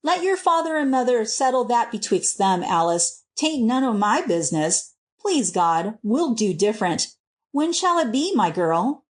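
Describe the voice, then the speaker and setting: Female reader as young rustic working man, storybook, plain-spoken, lovestruck, very animated intonation. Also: a little high, unhurried. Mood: tender, firm. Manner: rustic dialect speech.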